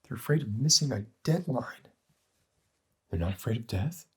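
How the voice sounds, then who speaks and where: A man playing a morbid, cynical doctor in an ASMR roleplay, lecturing in a pedantic, deliberate and bleak way with a husky voice. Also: expressive, darkish tone. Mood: insistent. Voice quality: husky, breathy, low. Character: morbid, cynical male doctor treating depression with despair.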